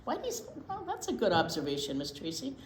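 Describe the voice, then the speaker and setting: A woman hosting a children's storytime, reading aloud expressively with drawn-out words and asides to her young listeners. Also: lowish voice, wildly swooping pitch. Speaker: storytime host, teacher. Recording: roomy echo, clear background noise.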